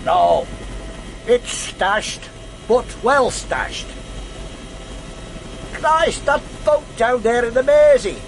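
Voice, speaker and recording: An English actor playing a world-weary, gruff middle-aged detective inspector in a radio drama, dry and clipped. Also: male voice, extremely high pitch, expressive, extremely slow, long pauses, slight background noise, full character voice. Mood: brisk, musing. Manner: dry, clipped, colloquial copper's talk. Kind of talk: BBC radio drama acting.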